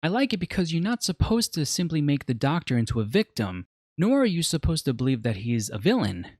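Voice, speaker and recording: A man's voice: fairly high pitch, very animated, brisk, darkish tone, no room sound, clean studio sound. A man narrating a scripted video essay as a media reviewer, telling the story in a dramatic, reflective way.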